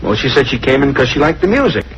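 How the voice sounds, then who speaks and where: A man's voice: medium pitch, very animated, fast, creaky. An actor playing an evasive, defensive salesman under police questioning in a 1950s radio drama, hesitant and protesting. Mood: pleading. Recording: old radio recording, faint noise.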